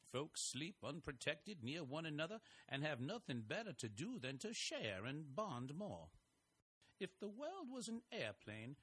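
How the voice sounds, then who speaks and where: A man's voice: medium pitch, a bit bright, full character voice. An actor playing a folksy, wry older male investigator who narrates his story. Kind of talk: audio drama narration and acting.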